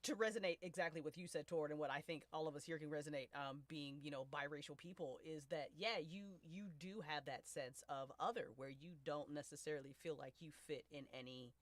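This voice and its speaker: A woman's voice: sits low, fairly quick, hardly any pauses, no room sound. An American woman around thirty chatting casually as a podcast host, with emphatic, slangy, sweary phrasing. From United States. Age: late 20s to early 30s. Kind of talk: casual panel chat.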